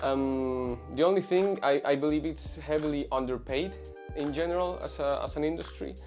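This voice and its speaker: A man's voice: a little high, normal speed, darkish tone, faint music behind. A man in his twenties from Venezuela, a tattoo artist answering interview questions casually, with many fillers and restarts. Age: 20s.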